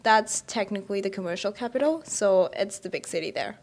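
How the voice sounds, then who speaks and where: A woman's voice: mid-range voice, a little fast. A young woman student with a Sri Lankan accent, answering questions in a casual interview. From Colombo, Sri Lanka. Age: young adult.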